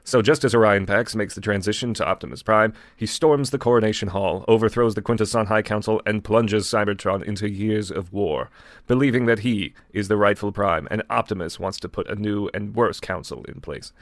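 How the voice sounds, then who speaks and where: A man with a dry, deadpan manner, casually reading fan fiction aloud as a co-host. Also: fairly low pitch, brisk, no echo. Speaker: co-host and reader.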